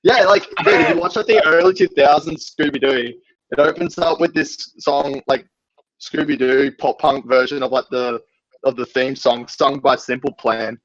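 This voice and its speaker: A man's voice: mid-range voice, normal speed, no echo. A young man in casual group chat, slangy and enthusiastic. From Australia. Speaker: band member on a podcast. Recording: slightly dull, muffled audio.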